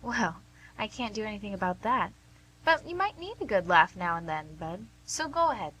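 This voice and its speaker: A woman's voice: mid-range voice, very animated, moderate pace, fairly bright tone, a little hiss or hum, poor audio. A woman playing a plain-spoken, caring but pushy nurse in a radio play, persuasive and folksy. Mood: reassuring. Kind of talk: amateur radio theatre acting.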